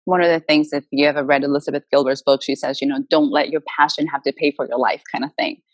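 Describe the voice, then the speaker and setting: A woman's voice: deep voice, steady tone, fast talker, no echo. A woman from the Dominican Republic, a native Spanish speaker, giving emphatic, motivational answers in a conversational interview. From Dominican Republic, moved to Massachusetts as a child. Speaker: guest, author and motivational speaker.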